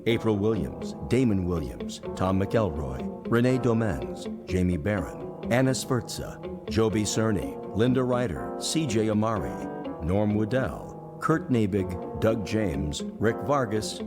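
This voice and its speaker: Male radio announcer, scripted credits read, deep voice over prominent music. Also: big pitch swings, a little slow.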